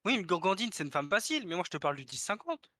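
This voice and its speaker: Boisterous young man with lively pitch. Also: rapid-fire, no room sound, studio quality. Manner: boisterous, jokey, jumping into squeals. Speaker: gamer in group voice chat. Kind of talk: casual banter.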